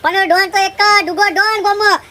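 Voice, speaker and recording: A man playing a swaggering, bullying village don in a cartoon comedy, with a rural North Gujarati accent, bossy and boastful, his voice pitched up and sped up. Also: steady tone, fairly quick, very noisy.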